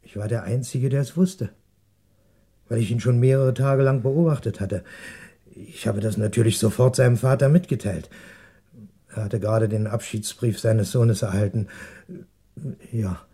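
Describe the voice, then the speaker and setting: A man's voice: medium pitch, moderate pace, pauses often, dark tone, slightly rough. A male actor playing a cornered, apologetic ex-policeman and shopkeeper, speaking hesitantly and defensively in a 1960s German radio crime drama. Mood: halting confession.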